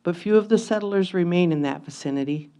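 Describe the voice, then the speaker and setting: A woman giving a solemn, measured dramatic reading of a first-person historical memoir. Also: lowish voice, mellow, clear, resonant tone. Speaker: reader of historical letters in reader's theatre.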